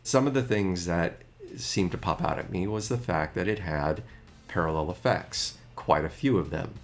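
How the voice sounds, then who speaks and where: A man's voice: sits low, normal speed. A middle-aged man talking casually about his music gear, chatty and a bit rambling.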